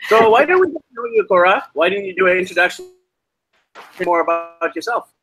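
A man hosting a travel podcast over a video call from a hostel, chatty and filling pauses with "uh". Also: high-pitched, very animated, fairly bright tone, slightly rough, soft background music, low-quality recording. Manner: chatty, many uh fillers. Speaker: podcast host. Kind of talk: podcast interview. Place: hostel with weak Wi-Fi.

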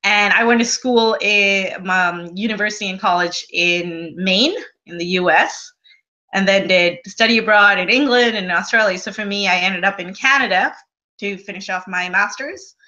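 Chatty bright-voiced woman, lo-fi audio. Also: mid-range voice, normal speed. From Sri Lanka. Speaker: guest, travel blogger. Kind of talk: casual interview conversation.

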